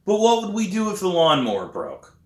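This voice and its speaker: A man's voice: sits high, a little fast, pitched above the performer's own voice. A grouchy, skeptical dad acted by a man reading a storybook speaks firmly, with dry sarcasm and plenty of rise and fall. The room around the voice is echoey. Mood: calm, serious. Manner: calm but firm, sarcastic. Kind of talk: acted dialogue in a children's book reading. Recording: roomy echo.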